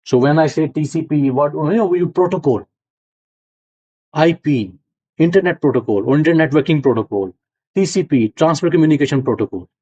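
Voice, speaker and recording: A middle-aged male lecturer from Punjab speaks with a Punjabi accent and a fairly high voice, walking students through concepts step by step. He often pauses to check that they follow. The recording is dull and lo-fi. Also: darkish tone, breathy edge. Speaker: university lecturer. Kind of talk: classroom lecture.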